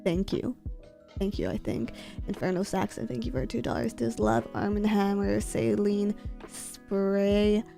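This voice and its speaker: A sick woman streamer speaks softly right into a close mic, her husky voice congested and mellow. She chats casually and reads out donations with a quiet, worn-down delivery. Faint music plays under her. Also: mid-range voice, a little slow. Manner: soft-spoken, mic held close. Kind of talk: casual chat reading donations. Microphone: close desk mic.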